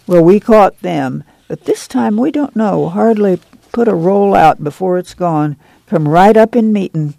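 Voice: medium pitch, creaky, mellow, no room sound, low-quality recording. A woman voicing a stern, bossy country committee chairwoman who scolds in commanding, folksy rural dialect in a story reading. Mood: worried, explaining.

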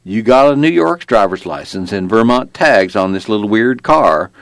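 Man acting a belligerent, halting small-town cop. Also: fairly low pitch, lively intonation, no echo, voice pushed up. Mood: frustrated, placating. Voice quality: slurred, far from crisp diction. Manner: folksy, halting, stuttering. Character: belligerent, awkward rural Virginia male cop.

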